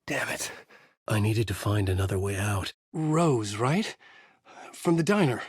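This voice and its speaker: A man's voice: lowish voice, lively intonation, unhurried, slightly rough, dry recording, studio quality. A middle-aged man narrating a video game as a brooding writer, in a noir-like inner monologue. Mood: panicked. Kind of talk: hard-boiled game narration.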